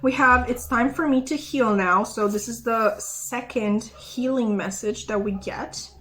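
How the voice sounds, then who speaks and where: A woman's voice: on the high side, unhurried, a bit bright, a little hiss or hum. A young woman giving a casual, rambling tarot reading to her viewers, with lots of fillers and giggles. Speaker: tarot reader.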